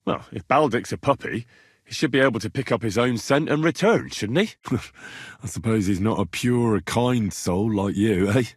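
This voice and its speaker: A man acting a young, plain-spoken medieval retainer in a video game, earnest and curious, with an expressive, conversational delivery. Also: on the low side, moderate pace, breathy edge, no echo, clean studio sound. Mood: wry, confiding. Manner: conversational, expressive, questioning, folksy turns of phrase.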